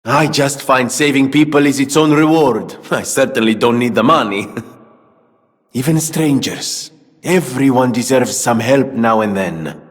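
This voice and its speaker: An actor playing an eccentric, earnest gentleman inventor in a video game, speaking in a theatrical, wordy way. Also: medium pitch, a little slow, big-room sound, natural voice. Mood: sincere, reflective. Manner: theatrical, verbose, exclamatory. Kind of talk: video game character dialogue.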